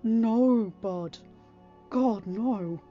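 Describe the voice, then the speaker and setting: A woman's voice: fairly high pitch, extremely slow, muffled recording, soft background music. Woman as a teasing, affectionate fatherly man. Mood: reassuring. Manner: reassuring, teasing, casual.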